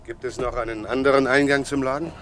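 A man playing a brisk, courteous police inspector with an official manner in a German radio play. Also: mid-range voice, steady tone, background noise, lightly acted. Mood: brisk, commanding. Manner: official, polite, giving clipped orders.

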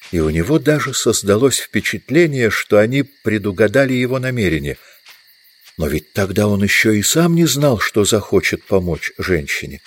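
A man reading scripted literary narration for an audio drama. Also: low voice.

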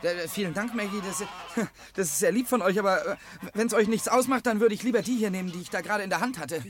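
A man's voice: high voice, fast talker, close to an everyday voice. A man doing a stammering, panicky thief. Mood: agitated. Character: nervous stammering male hotel thief confessing. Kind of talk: 1950s radio drama acting.

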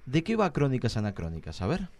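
A man's voice: medium pitch, huge pitch swings, quick pace, a little mellow, a little hiss or hum. A man with an Argentine accent hosting a casual live radio interview in a studio. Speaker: radio host.

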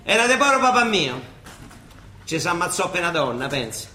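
A man's voice: sits high, sing-song, a little fast, bright, forceful tone, heavily acted. A man playing a worldly, protective older monsignor with a Roman accent in a stage-style radio drama. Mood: gruff, wry. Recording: echoey stage sound with faint noise.

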